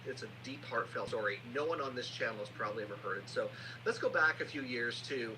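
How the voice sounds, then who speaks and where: Male, podcast host, conversational interviewing, mid-pitched voice, noisy humming live stream. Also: expressive, fast.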